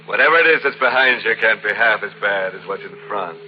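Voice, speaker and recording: An actor playing a teasing, ghoulish horror host in old-time radio, macabre and sardonic. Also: male voice, fairly low pitch, faint music behind, close to an everyday voice. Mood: gleefully macabre. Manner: slow, macabre, sardonic, drawn-out. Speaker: host and narrator. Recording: muffled vintage radio recording.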